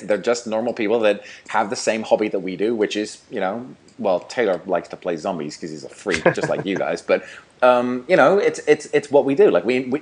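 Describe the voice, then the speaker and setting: A man's voice: on the low side, breathy edge. A man co-hosting a gaming podcast, talking casually in an informal, slangy way. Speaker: podcast co-host, gaming YouTuber. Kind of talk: casual chat.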